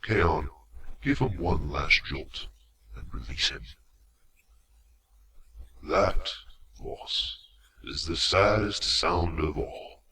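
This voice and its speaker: Man acting a slow, deep robot villain. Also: pushed and bright, full character voice. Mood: mock sympathy. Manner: theatrical, deliberate, with dramatic pauses and drops to whispers. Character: male robot villain, menacing, eloquent, cruelly courteous commander. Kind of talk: scripted audio drama villain monologue. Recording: amateur home recording, faint noise.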